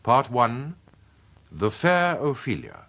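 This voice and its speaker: Slow, deliberate male radio announcer. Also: medium pitch, wildly swooping pitch, gravelly, fairly bright tone, soft background music. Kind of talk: formal radio drama title announcement.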